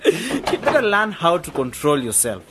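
An actor playing a cocky, playful young male radio DJ in a radio drama, with an animated, slangy, boastful way of talking. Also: a little high, moderate pace, very noisy. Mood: cocky, teasing.

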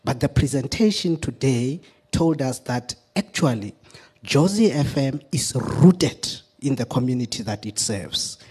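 A man speaking off the cuff on a panel, earnest and deliberate, in stop-start phrases with repeated words. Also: mid-range voice, darkish tone, slightly rough. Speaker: community radio representative on a panel. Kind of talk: extemporaneous panel discussion remarks.

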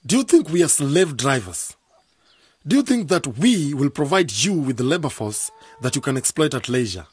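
Casting: suspicious young male co-op manager, husky, highish voice, formal and challenging, radio play. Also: sing-song, moderate pace. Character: wary, businesslike young male cooperative manager. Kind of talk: radio drama acting.

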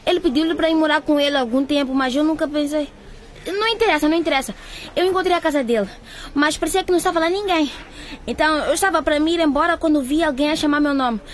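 A woman's voice: high voice, quick pace, slight background noise, natural voice. An actress playing a worried, loyal teenage schoolgirl in a radio drama, speaking in an earnest way. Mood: urgent, anxious. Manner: earnest, urgent.

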